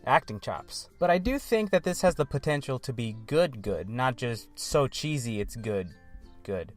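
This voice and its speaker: A man's voice: medium pitch, lively intonation, normal speed, faint music behind. A man in his twenties with an American accent gives casual movie commentary, wryly asking himself questions and answering them. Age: 20s. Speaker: movie vlog host.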